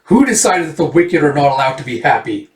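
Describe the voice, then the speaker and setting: A man's voice: fairly high pitch, very animated, a little fast, far from the mic. A boastful, vengeful villain voiced by a man talks down to others with theatrical grandeur in a tabletop game. The voice sounds roomy and set back from the mic. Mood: gloating, coaxing. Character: vengeful, scheming, self-proclaimed wicked man, boastful villain.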